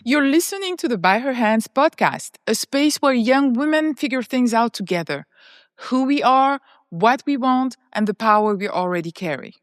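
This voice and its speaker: A woman reading a scripted podcast introduction in an even, read-aloud delivery. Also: on the high side, moderate pace, no room sound. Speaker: podcast announcer.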